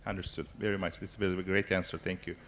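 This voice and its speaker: A man moderating a conference panel, asking questions with energetic, loosely worded phrasing and fillers. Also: deep voice, fast, slight background noise.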